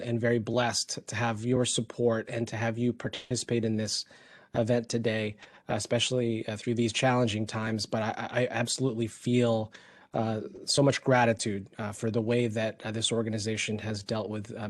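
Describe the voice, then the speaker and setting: A man's voice: on the low side, little pitch movement, moderate pace, no echo. A man from the Philippines telling colleagues his personal story, hesitant, with many fillers and restarts. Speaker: hospital executive.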